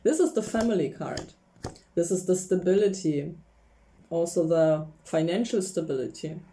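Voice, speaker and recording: Female tarot reader, warm dark tone, casual and giggly. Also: fairly low pitch, moderate pace, a little hiss or hum. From Poland. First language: Polish. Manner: chatty, laughs often, gropes for English words. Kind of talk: casual tarot reading to camera.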